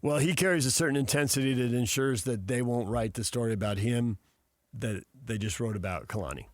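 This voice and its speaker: A man co-hosting a sports radio show, chatting casually in a playful, animated way from a studio. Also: medium pitch, a little fast, dry recording. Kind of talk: casual sports talk banter.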